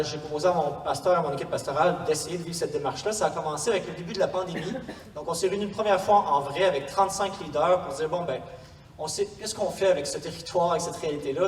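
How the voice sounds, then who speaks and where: Fast-talking Quebec man in an echoey hall. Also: a little high. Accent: Quebec French. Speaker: parish pastoral coordinator, workshop speaker. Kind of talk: informal workshop presentation. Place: large echoey room before an audience. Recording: distant mic, echoey hall, faint noise.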